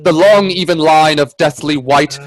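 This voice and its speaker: A man playing an earnest, anxious gentleman companion in a radio play, sounding dramatic and grave. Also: a little high, crowd noise, lightly acted. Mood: grave narration. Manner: earnest, hesitant in dialogue, measured in narration.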